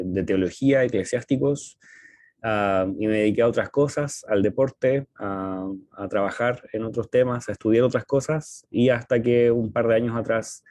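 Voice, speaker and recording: A man with a Chilean accent talking casually as a podcast co-host, in a halting, hesitant way with frequent pauses. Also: medium pitch, a little mellow, no room sound. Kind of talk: casual conversational podcast talk.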